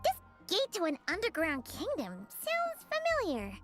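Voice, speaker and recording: A woman voicing a tiny childlike fairy companion in an anime-style game, squeaky, chirpy and cheeky. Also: wildly swooping pitch, unhurried, music in the background, heavily acted. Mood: cheeky, curious.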